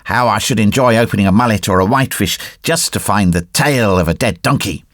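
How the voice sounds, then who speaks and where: A man voicing a gruff, greedy grown man in an audiobook, irritable and demanding. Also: on the low side, brisk, fairly bright tone, no room sound, clean studio sound, natural voice. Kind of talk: audiobook reading.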